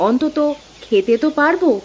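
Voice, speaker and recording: A woman voicing a practical, gently reassuring village wife and mother in a cartoon. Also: high-pitched, lively intonation, moderate pace, highs cut off, a little hiss or hum. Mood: practical, gentle. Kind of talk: Bengali moral story cartoon dubbing.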